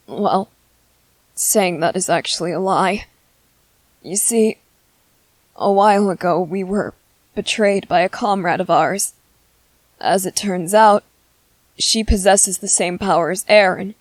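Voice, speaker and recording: A woman voicing a gentle, shrewd teenage boy soldier in an intimate ASMR roleplay, speaking thoughtfully and hesitantly, as if quietly plotting. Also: medium pitch, dry recording, natural voice. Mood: sheepish. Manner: hesitant, thoughtful, halting phrasing with soft ums. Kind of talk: ASMR character roleplay, intimate one-sided dialogue.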